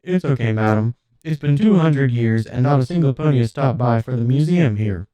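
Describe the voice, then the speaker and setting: A man voicing a courteous, lonely old robot butler and museum guide in an audiobook story, with smooth, formal, apologetic politeness. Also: medium pitch, lively intonation, a little fast, dark tone, dry recording, close to an everyday voice, low-quality recording. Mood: wistful, sad.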